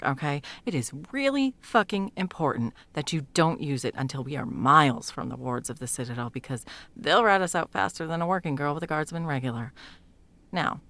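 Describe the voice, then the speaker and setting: A woman's voice: low voice, fairly bright tone. A woman playing a brash, sweary, bossy sound mage with a teasing fondness, in an intimate audio roleplay. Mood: focused. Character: brash, foul-mouthed, teasing female sound mage, secretly smitten. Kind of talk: intimate second-person audio roleplay acting.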